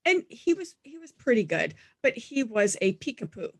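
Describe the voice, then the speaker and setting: Brief: middle-aged woman guest, scratchy voice, animated storyteller, dry close mic. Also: fairly high pitch, normal speed, dry recording. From Denver, USA. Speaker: guest, animal talent agent. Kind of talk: casual interview storytelling. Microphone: studio mic.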